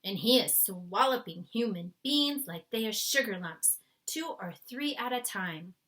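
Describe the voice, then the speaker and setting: A woman voicing a gentle, nervous, kindly male giant in a storybook, soft-spoken with quaint muddled grammar. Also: mid-range voice, expressive, a little slow. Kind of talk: storybook read-aloud character voice.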